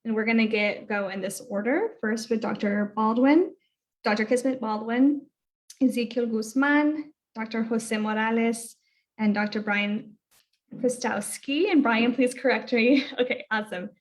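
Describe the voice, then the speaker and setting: A woman's voice: fairly high pitch, measured pace. Woman moderating a panel discussion.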